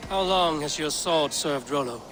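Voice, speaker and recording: An actor playing a stern Viking warrior who questions others in a measured, direct way, in a video game. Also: male voice, on the high side, over music, natural voice. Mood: questioning. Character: stern, probing Viking warrior acting as interrogator. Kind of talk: video game dramatic acting.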